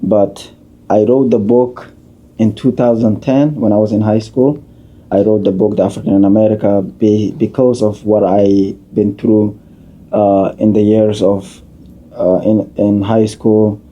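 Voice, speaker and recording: A man, a community leader answering questions as a podcast guest in an unhurried, halting way. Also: on the low side, little pitch movement, mellow, highs cut off. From Somalia, raised in Kenya. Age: 30s. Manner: unhurried, halting, with many uh fillers and restarts.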